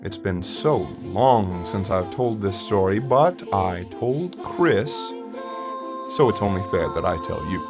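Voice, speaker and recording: Slow, wistful father telling his story, acted by a man. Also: sits low, animated, full character voice. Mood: wry, reminiscing. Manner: conversational, reflective, with dramatic pauses. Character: wistful father recounting a dark memory to his child. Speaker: narrator of an audio play. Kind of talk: first-person dramatic monologue, storytelling. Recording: music under the voice.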